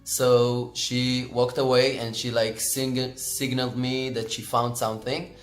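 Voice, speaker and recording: A man's voice: mid-range voice, little pitch movement, unhurried. A man in his twenties with an Israeli accent, casually telling an anecdote in an interview. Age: 20s. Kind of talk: interview storytelling. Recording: echoey room with music.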